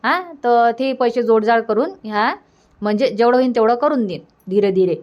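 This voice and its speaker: A somewhat high old village mother from a cartoon, acted by a woman, recorded in dull lo-fi. She talks plainly and placatingly, resigned. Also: moderate pace, natural voice. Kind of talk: Marathi cartoon comedy dubbing.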